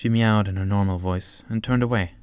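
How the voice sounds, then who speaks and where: A male narrator reads scripted storybook prose. The voice is fairly low, and the sound is dry with no room on it. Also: fairly even intonation, brisk, darkish tone, smooth, no echo.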